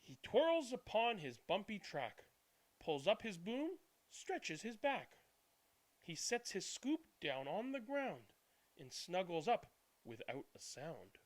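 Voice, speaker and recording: A man narrating a children's bedtime storybook in an expressive, deliberate way. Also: high voice. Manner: animated, deliberate reading with hushed good nights. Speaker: read-aloud channel host.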